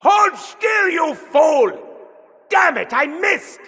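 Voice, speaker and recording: An actor with a German accent playing a manic, bloodthirsty male mad scientist shouting gleeful taunts while fighting zombies in a video game. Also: very high voice, expressive, drawn-out words, big-room sound, exaggerated voice. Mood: furious. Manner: shrieking gleeful battle taunts. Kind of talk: video game combat voice lines.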